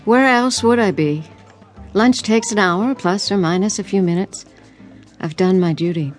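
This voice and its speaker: A woman acts as a disappointed fiancée in a radio play. Her medium-pitched voice is cool, dry and clipped, full of quiet resolve. Faint music plays underneath. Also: unhurried, close to an everyday voice. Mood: curt, annoyed. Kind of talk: audio drama acting.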